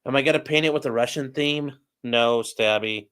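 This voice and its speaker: A man's voice: mid-range voice, normal speed, fairly bright tone, clean tone. Joking man chatting on stream. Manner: joking, sarcastic, talks straight to chat. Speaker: streamer and legal commentator. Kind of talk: casual livestream chat reading viewer comments while building a model.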